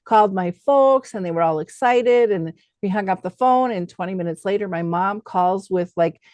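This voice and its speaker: A middle-aged woman hosting a conversation, reflecting on personal memories in an informal, animated way. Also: mid-range voice, normal speed, no room sound. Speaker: talk show host.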